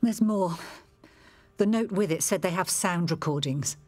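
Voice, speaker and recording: A woman's voice: fairly low pitch, a little slow, a little mellow. An actress playing a frightened married woman caught up in an affair, talking urgently in a radio drama.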